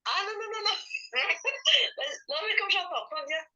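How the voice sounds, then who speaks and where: Une femme enrhumée, le nez pris, qui parle de façon bavarde et répète les phrases d'une séance de tapotement en appel vidéo. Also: female voice, very high-pitched, animated, unhurried, sharp tone, muffled recording. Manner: chatty, repeats herself, laughs often. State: congested, with a head cold. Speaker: participant in a group EFT session. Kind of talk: casual chat and repeating EFT phrases. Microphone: video call.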